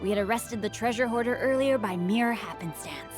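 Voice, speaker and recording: Woman as gracious, high-minded guard over music. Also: a little fast, lightly acted. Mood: gracious, amused. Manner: lofty, formal phrasing. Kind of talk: video game story dialogue.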